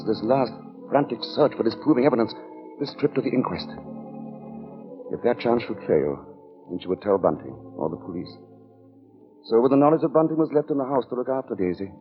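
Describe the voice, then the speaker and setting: A man's voice: medium pitch, animated, brisk, music in the background, natural voice. A man narrating a suspenseful 1940s radio thriller in a grave, dramatic manner. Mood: grave, mounting dread. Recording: dull, noisy vintage radio recording.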